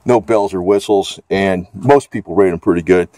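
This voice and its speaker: A man's voice: sits low, moderate pace, mellow, no echo. A man giving a casual, off-the-cuff product demonstration, talking in a rambling, hesitant, folksy way. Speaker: gadget reviewer.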